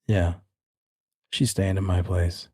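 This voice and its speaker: Curt, suspicious male stranger, played by a game master, low and husky, terse refusals, quiet menace, tabletop horror. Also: level tone, slow, no echo, studio quality, exaggerated voice. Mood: guarded, curt.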